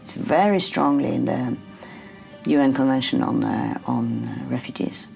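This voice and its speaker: Low, gravelly-voiced woman. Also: wildly swooping pitch, unhurried, a little mellow, faint music behind, low-quality recording. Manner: plain-spoken, frequent hesitations. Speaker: refuge network coordinator. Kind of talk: documentary interview.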